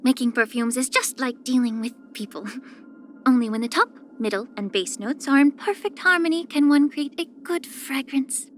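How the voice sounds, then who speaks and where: A woman voicing a poised, soft-spoken, subtly sly young female healer in a video game, with measured, gentle, composed delivery. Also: high-pitched, soft background music, natural voice. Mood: gently teasing. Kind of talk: scripted character voice lines.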